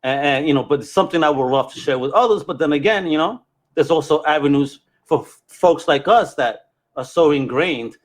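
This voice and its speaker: A man's voice: fairly high pitch, a little fast. A man hosting a casual podcast, talking in an enthusiastic, effusive and conversational way. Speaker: podcast host.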